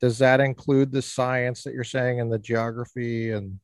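A man hosting a podcast interview, talking in an animated, rambling way with playful teasing. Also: mid-range voice, moderate pace, no echo, studio quality. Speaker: podcast host. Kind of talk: casual interview chat.

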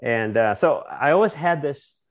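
A man's voice: medium pitch, expressive, normal speed. A middle-aged man from the Philippines, a guest in a casual interview, reflecting on his youth in a storytelling way with many restarts.

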